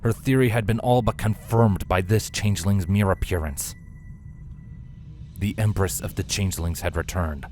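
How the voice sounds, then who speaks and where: A man reading scripted fantasy fiction as a narrator, in a measured, solemn, dramatic way. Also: deep voice, a little mellow, a little hiss or hum. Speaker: narrator, fan fiction reader.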